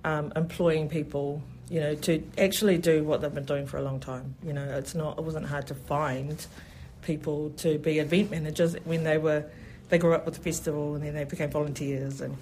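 New festival organiser, mid-pitched and enthusiastic, a rambling interview guest. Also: flat delivery, normal speed, few pauses, a little mellow, a little hiss or hum. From New Zealand. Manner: enthusiastic, rambling, many "you know" fillers. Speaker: festival event specialist. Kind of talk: radio interview.

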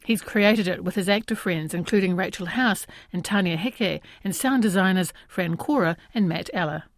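A woman's voice: lowish voice, moderate pace, no room sound, clean studio sound. A woman with a New Zealand accent presenting and interviewing as an arts radio host. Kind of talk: scripted introduction and conversational interview.

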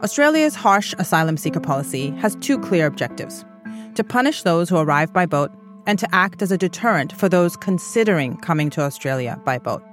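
A woman who grew up in East Africa narrates a documentary podcast with a lowish voice. She reads in a measured, earnest way. Soft music plays beneath her narration. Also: big pitch swings.

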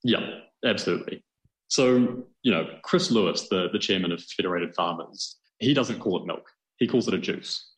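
A man's voice: sits low, moderate pace. A man with a New Zealand accent explaining things conversationally as a journalist being interviewed, with an enthusiastic manner. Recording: roomy, echoing sound.

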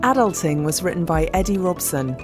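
A woman with a lowish voice reads scripted closing credits as an announcer. Music plays clearly beneath her. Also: expressive, brisk. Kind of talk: scripted narration of end credits.

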